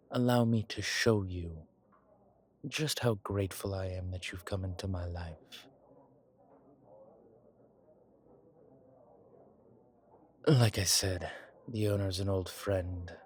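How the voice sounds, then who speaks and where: A man playing a suave, grateful old vampire in a roleplay audio, speaking formally with pauses and quiet amusement. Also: sits low, slight background noise. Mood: warmly amused. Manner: genteel, formal, hesitant pauses, soft chuckles. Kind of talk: intimate one-sided roleplay audio drama.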